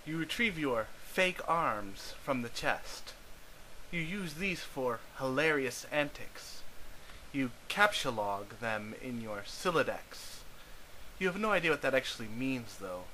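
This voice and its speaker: A man's voice: medium pitch, animated, measured pace, sharp tone, a little hiss or hum, low-quality recording. A man narrating a scripted story, reading it aloud.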